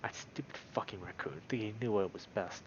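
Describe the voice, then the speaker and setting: A man's voice: lowish voice, a little fast, lo-fi sound, noisy background, lightly acted. A man voicing an aggressive, paranoid wolf in a visual novel, growly and agitated. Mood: seething. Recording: music behind the voice.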